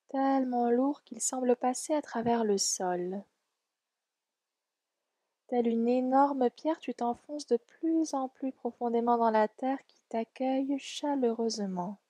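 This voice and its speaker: A woman leading a guided relaxation meditation in a soft, soothing, gentle and unhurried way. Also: a little high, no echo. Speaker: yoga nidra guide.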